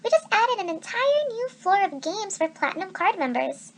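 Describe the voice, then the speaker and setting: An ingratiating storybook bellhop, a man played by a woman, speaks in a high, lifted voice. He is salesy and coaxing. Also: big pitch swings, moderate pace, a little hiss or hum, exaggerated voice. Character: ingratiating male hotel bellhop.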